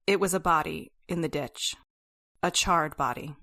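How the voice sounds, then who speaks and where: An actress playing a serious, guarded doctor in an old-time radio horror play, measured and a little hesitant. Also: female voice, low-pitched, creaky, bright, forceful tone, heavily acted. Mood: grim.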